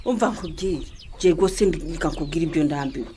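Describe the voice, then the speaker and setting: A woman's voice: low-pitched, a little fast, warm tone, a little hiss or hum, natural voice. A Rwandan woman playing a fed-up, reproachful wife, complaining insistently in a radio drama.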